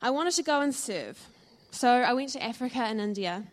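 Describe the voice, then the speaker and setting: A woman's voice: on the high side, lively intonation, normal speed. A woman with a New Zealand accent, probably in her thirties, giving an earnest and reflective personal testimony to a church audience, with frequent ums and uhs. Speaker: church speaker sharing her story.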